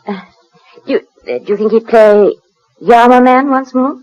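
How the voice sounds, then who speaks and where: A woman's voice: fairly high pitch, unhurried, a little mellow, close to an everyday voice, rough recording. An actress playing a gracious, genteel lady ship's passenger in a 1940s radio drama. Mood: gracious, composed. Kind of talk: 1940s British radio acting. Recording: old radio recording, music behind.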